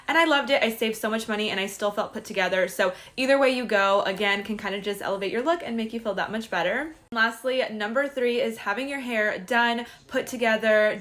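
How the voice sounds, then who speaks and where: Quick, chatty young-sounding woman. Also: on the high side, fairly bright tone. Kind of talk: casual beauty vlog tips.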